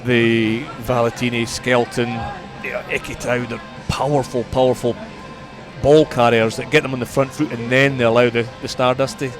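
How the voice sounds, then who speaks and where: A man giving conversational sports punditry as a radio guest, measured and repeating words for emphasis. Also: medium pitch, level tone, over music.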